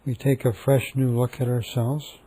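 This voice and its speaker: A man leading a guided meditation, speaking calmly and softly with long, repetitive pauses. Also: medium pitch, slightly rough, low-quality recording.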